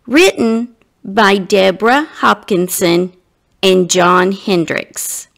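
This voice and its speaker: A woman narrating a children's storybook expressively, with deliberate phrasing that addresses young listeners directly. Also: mid-range voice, vocal fry, fairly bright tone. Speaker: guest story reader.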